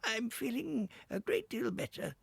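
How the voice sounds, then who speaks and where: A high, crowing toad full of himself, boasting and showing off. The voice swoops widely and carries a gravelly, husky edge. Dry, close sound with no room around it. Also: male voice, huge pitch swings, a little slow, dry recording, heavily acted. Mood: feeble. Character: vain boastful reckless male toad.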